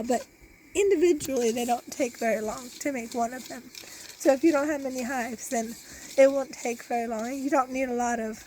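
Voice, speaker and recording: A woman's voice: sits high, darkish tone, slight background noise, rough recording. A woman in her forties who is losing her voice, chatting step by step through a beekeeping recipe out on her back deck, filmed close on a phone. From Iowa, US. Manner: chatty, explains step by step with many restarts. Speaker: homesteader and beekeeper. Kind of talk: casual how-to cooking demonstration. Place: outdoor back deck in a farm yard. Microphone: phone camera held close.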